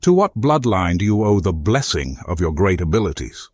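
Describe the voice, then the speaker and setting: A man's voice: sits low, sing-song, normal speed, no room sound, clean studio sound. A man voicing a defeated fantasy adversary in a video game, with solemn, florid, philosophical and resigned speech. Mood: solemn, challenging.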